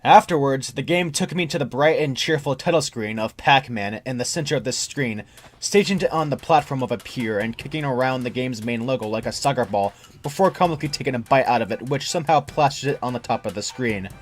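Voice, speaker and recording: A man's voice: mid-range voice, fairly quick. A man reading a scripted first-person creepypasta story as its narrator. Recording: music under the narration.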